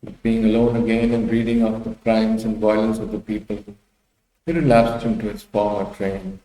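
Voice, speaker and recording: Mellow male storyteller in echoey room. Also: lowish voice, level tone, normal speed. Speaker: audiobook narrator. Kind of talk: dramatic literary narration.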